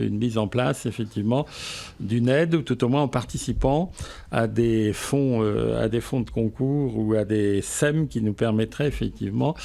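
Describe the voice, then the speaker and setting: An older man from France answering political interview questions as a local official, speaking haltingly and repeating words. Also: medium pitch, creaky, mellow.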